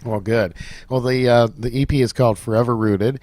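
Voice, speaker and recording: A man's voice: mid-range voice, steady tone, brisk, darkish tone, dry recording. A man hosting a country music radio show, with upbeat presenter patter and a few fillers.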